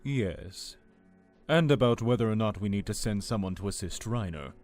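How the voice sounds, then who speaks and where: A man acts out a low-voiced leader of an audio drama, formal and used to being obeyed. His delivery is measured and calculating, yet it rises and falls dramatically. Faint music plays underneath. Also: expressive, a little mellow, lightly acted. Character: commanding male lord, calm, calculating leader.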